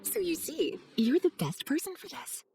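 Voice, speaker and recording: A woman playing a sly, coaxing, motherly teacher in a video game, with a breathy voice and teasing manner. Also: high voice, big pitch swings, a little mellow, faint music behind, heavily acted. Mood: reassuring. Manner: coaxing, teasing, unhurried persuasion. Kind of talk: video game character acting.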